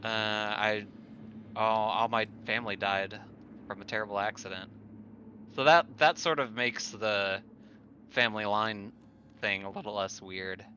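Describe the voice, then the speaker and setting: Bright-voiced man chatting, muffled recording. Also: fairly low pitch, a little slow, long pauses. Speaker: tabletop roleplaying player. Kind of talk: casual chat after a game. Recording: muffled audio with faint noise.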